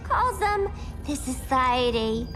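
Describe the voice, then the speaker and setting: A very high, swooping voice for a zany video game antiheroine. She has a playful, slangy New York accent and chatters cheekily, with loud music behind her. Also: sing-song, takes it slowly, full character voice. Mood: playful, cheeky. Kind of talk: animated video game acting.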